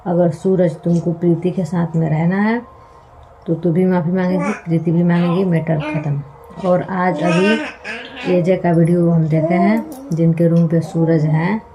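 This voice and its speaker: Lady vlogger talking earnestly to viewers, kinda mellow voice, bit of background noise. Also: fairly low pitch, poor audio. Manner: earnest, pleading, repeats points for emphasis. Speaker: video blogger commenting on online couple drama. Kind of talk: casual opinion commentary addressed to viewers.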